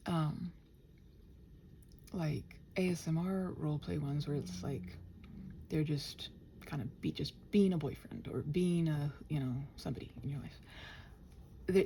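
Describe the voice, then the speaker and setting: A woman rambling casually to listeners as she works, muttering and thinking aloud in a self-deprecating way, her soft voice often dropping near a whisper. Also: mid-range voice, creaky, slight background noise. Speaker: audio roleplay and ASMR creator.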